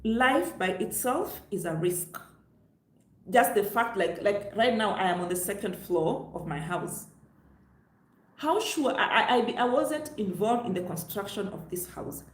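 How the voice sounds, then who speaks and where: A woman's voice: medium pitch, animated, measured pace. Woman, Kenyan accent, emphatic vlog advice, talks straight to viewers, roomy echo. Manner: emphatic, preachy, addresses viewers directly. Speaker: travel vlogger. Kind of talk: direct-to-camera advice vlog. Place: room on the upper floor of her home, seated.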